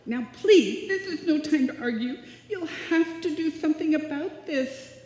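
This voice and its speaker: A woman's voice: sits high, expressive, mellow. A woman playing a sweet, dim-witted young roommate in live old-time radio comedy, earnest and innocently literal. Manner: naive, earnest, slow-witted. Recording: echoey hall, live stage performance.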